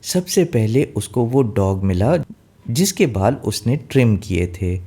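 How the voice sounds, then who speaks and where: A man's voice: on the low side, very animated, takes it slowly, mellow, slightly rough. A man narrating a scripted children's fairy tale in simple language, mixing in some English words. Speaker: storyteller.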